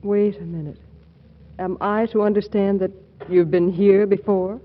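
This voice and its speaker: An actress playing a needling, suspicious new bride, coaxing and wry, in 1940s radio comedy style. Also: female voice, mid-range voice, fairly even intonation, measured pace, close to an everyday voice. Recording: echoey, dull, faintly noisy radio recording.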